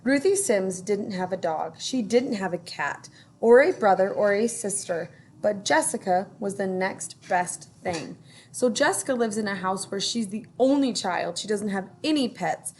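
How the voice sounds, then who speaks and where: A woman teacher reading a picture book aloud to children, gently stopping to ask them questions. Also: medium pitch, lively intonation, slight background noise. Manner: gentle, pauses to ask young listeners questions.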